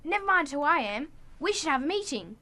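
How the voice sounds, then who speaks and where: A woman's voice: high-pitched, sing-song, brisk, sharp tone, exaggerated voice. A woman playing an earnest, picked-on schoolboy in a radio play, plaintive and insistent.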